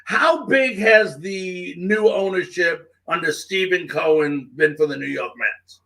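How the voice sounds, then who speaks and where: High-voiced male sports interviewer. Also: unhurried, creaky, a bit bright. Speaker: sports show host, interviewer. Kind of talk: live interview questioning.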